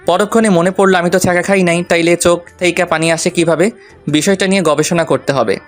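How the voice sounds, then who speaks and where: Male narrator, slightly high voice, playful colloquial romantic storytelling over light music. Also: fairly even intonation, fast talker. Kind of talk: first-person romantic story narration with acted dialogue.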